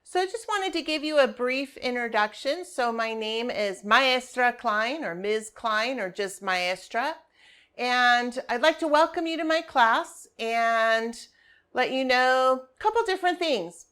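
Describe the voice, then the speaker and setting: A woman teaching high school Spanish, walking parents and students through her class website in a friendly, explanatory way with frequent ums. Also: expressive, measured pace, fairly bright tone, clear, resonant tone. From family from Colombia. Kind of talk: screen-share class walkthrough.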